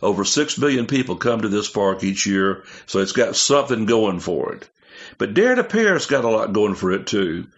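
A man delivers a folksy travel report in a lowish voice. The recording sounds dull and lo-fi. He has a showman's flair. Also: very animated, normal speed. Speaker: travel and food correspondent.

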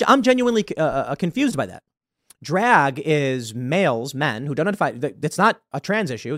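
Brief: male host, slightly high voice, dry close sound, halting then emphatic commentary. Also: dry recording. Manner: hesitant, repeats words, stresses points loudly. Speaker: show host. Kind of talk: political commentary monologue.

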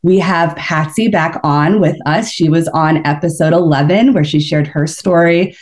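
A woman hosting an interview podcast, reading a scripted intro and then gently prompting her guests with questions. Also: deep voice, normal speed. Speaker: podcast host.

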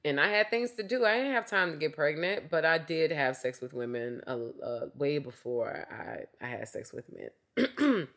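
Low-voiced woman, a bit raspy. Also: expressive, moderate pace, a bit bright. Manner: candid, chatty, frequent fillers like "like" and "um". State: slightly raspy from a cough. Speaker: podcast host. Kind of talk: casual solo podcast monologue.

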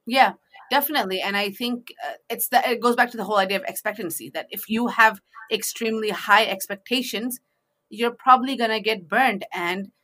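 Female discussion host, bright tone, conversational and emphatic, sharing advice informally. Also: medium pitch, brisk. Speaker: host in a two-person discussion.

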